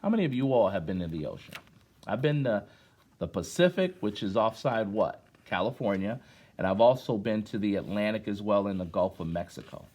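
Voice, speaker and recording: A man's voice: on the low side, lively intonation, brisk, a little mellow. A middle-aged American man reading and chatting to children in a conversational way, with questions and encouragement, like a former teacher at story time.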